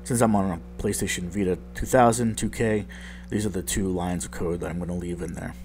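A man with a very low, groggy voice, like he just woke up, talks casually through a software tutorial. He rambles and pokes fun at his own mistakes. A faint hum sits under the recording. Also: steady tone, a little fast. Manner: rambling, self-deprecating, lots of ums. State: just woke up, deep morning voice. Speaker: tech tutorial youtuber.

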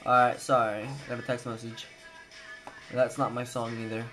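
A man's voice: medium pitch, little pitch movement, normal speed, pushed and bright, faint music behind. A young adult man giving a casual, hesitant tutorial, thinking aloud as he goes. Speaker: tutorial presenter. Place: indoors at a computer. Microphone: handheld camera mic. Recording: noisy handheld camera recording.

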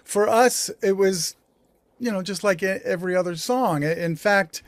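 A man in his sixties with an American accent, talking reflectively in an interview, halting and correcting himself as he goes. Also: sits high, no room sound. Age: 60s. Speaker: songwriter guest. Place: small home recording studio. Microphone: video call.

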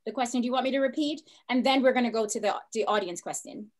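A woman's voice: a little high, fast talker. A middle-aged woman moderating a panel on a video call in an affirming, encouraging manner. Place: plain indoor room. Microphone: computer on a video call.

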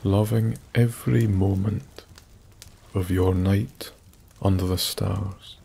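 Very slow, soft-spoken Scottish man. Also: deep voice, fairly even intonation, creaky, husky. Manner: slow, soothing, hushed delivery with long pauses. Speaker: bedtime story narrator. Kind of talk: soft-spoken ASMR bedtime story narration. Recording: faint background noise. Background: soft nature ambience.

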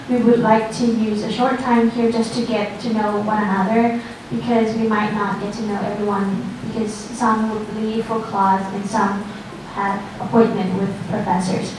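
A woman moderator at a podium speaks slowly and gives friendly instructions, dotted with fillers. Her fairly high voice comes from far off in a big echoey conference room. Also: a little mellow, a little hiss or hum. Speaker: event moderator. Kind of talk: hosting a class session. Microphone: handheld mic at podium, far camera. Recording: echoey hall heard from far off.

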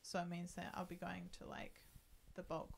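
A woman co-hosting a casual podcast chat, relaxed and giggly, with a soft, lower tone. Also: normal speed, creaky, slight background noise. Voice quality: lower, docile tone. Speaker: podcast co-host.

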